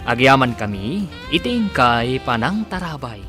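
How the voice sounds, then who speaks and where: A man with a Filipino accent announcing and narrating a radio drama, with drawn-out, theatrical phrasing. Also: medium pitch, sing-song. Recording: prominent music bed.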